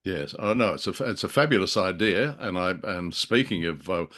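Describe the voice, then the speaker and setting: A man's voice: deep voice, lively intonation, moderate pace, a bit bright. A man co-hosting a casual discussion show, wry and joking in a conversational way. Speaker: podcast co-host. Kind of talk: casual discussion of a classic drama.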